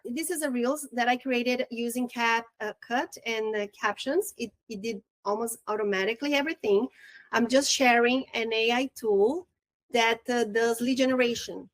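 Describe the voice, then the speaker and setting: A woman with a Brazilian accent and Portuguese as her first language talks through a casual online training. Her voice sits high and the sound is dry and close. She is chatty and upbeat and often stumbles and restarts her words. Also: no room sound, rough recording. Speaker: real estate trainer.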